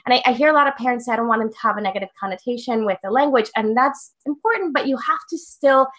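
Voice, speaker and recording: A woman's voice: a little high, fast, fairly bright tone. A woman on a live panel who is a parent, explaining her home language rules firmly and drawing on her own family. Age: adult parent.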